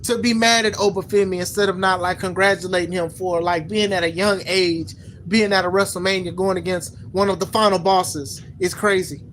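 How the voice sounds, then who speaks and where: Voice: mid-range voice, fairly even intonation, a little fast, a bit bright, slight background noise. A podcast host in their thirties giving casual opinion commentary, rambling and repeating words, with slangy phrasing. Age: 30s.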